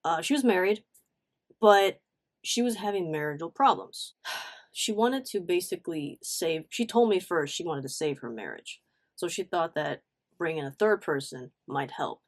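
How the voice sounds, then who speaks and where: A woman telling a casual story-time vlog in a blunt, slangy, animated way, with frequent conversational asides. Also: fairly low pitch, normal speed. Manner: animated, blunt, swearing, acts out quotes. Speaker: online storyteller.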